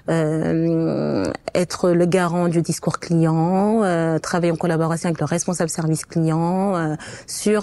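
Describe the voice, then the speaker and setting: Low, mellow-voiced woman, halting delivery. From Portugal. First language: not French. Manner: hesitant, frequent stops and restarts. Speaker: interview guest, quality manager. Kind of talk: personal testimony in conversation.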